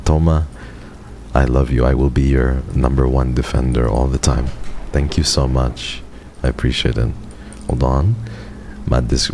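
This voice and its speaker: Very deep-voiced man chatting on stream. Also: a little hiss or hum. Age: 20s. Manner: rambling and affectionate, jumps high in pitch when excited. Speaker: VTuber streamer. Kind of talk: casual livestream chat with viewers.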